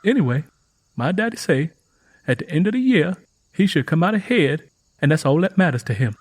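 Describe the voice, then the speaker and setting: Guy doing a southern country girl for an audiobook, earnest n folksy, high husky voice, pleading kinda. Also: very animated, brisk. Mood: earnest, chatty. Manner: folksy rural dialect, eager. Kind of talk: audiobook character voice.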